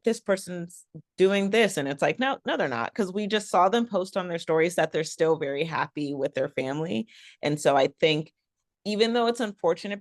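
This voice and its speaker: A woman business coach speaking as a podcast guest, enthusiastic and animated, her voice a little raspy after a long event. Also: deep voice, no room sound, clean studio sound. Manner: animated, enthusiastic, quick to explain. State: slightly raspy after a three-day event. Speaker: guest, personal branding coach. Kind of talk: podcast interview conversation.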